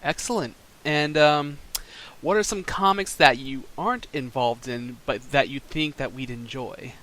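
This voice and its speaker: A man's voice: mid-range voice, measured pace, fairly bright tone. A man hosting a podcast, asking his guest questions as an eager fan. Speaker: podcast host. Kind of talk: casual podcast interview.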